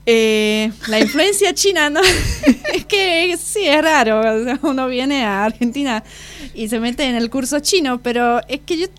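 Woman in her twenties, hesitant. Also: high-pitched. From Slovakia. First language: Slovak. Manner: hesitant, searching for words, self-correcting. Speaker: exchange student guest. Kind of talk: casual interview answers.

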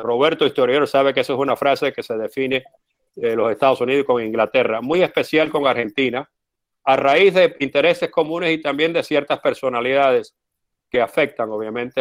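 Interview guest, male, second-language speaker, steady explanatory delivery with hesitations. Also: medium pitch. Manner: measured, frequent "eh" fillers, explanatory. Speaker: interview guest, former US policy adviser. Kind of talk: conversational interview answer.

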